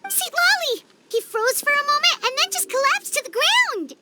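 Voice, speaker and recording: A woman voicing a small, childlike fairy companion in a video game, chirpy, excitable and caring. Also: extremely high pitch, normal speed, background noise. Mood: alarmed. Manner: chirpy, refers to self in third person.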